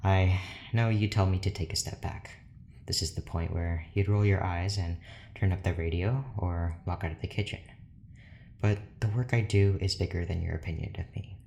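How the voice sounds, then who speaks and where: An actor playing an obsessive, lonely, overworked scientist in an audio drama, formal and brooding. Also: male voice, sits low, creaky, a little mellow, a little hiss or hum. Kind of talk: audio drama monologue, diary-like.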